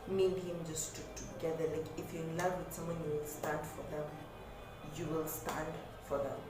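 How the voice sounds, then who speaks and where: A woman's voice: sits low, flat delivery, normal speed, very echoey, over music. A woman giving relationship advice in a half-whispered, repetitive, earnest way, recorded on a phone at her workplace by a road. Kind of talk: casual advice vlog. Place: workplace by a road, natural light.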